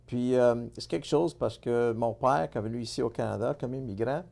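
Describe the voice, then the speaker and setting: A man's voice: medium pitch, normal speed, gravelly, warm tone. A middle-aged man, a candidate telling his life story in a casual interview, earnest and full of fillers and restarts. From born in Italy, raised in Montreal. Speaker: political candidate.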